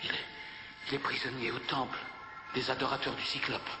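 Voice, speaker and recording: A man's voice: mid-range voice, little pitch movement, fairly quick, lightly acted. A man voicing a heroic space captain and father who speaks urgently and with resolve. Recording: echoey, music behind, off-mic.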